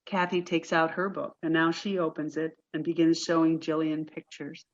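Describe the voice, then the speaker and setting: A woman's voice: deep voice, level tone, normal speed, highs cut off. A woman reading stage directions as scripted narration for a play.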